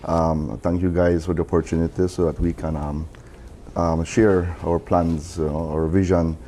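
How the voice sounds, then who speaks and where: A hoarse man speaking as a political candidate in a studio interview, pausing with many ums. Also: low voice, little pitch movement, warm tone, a little hiss or hum.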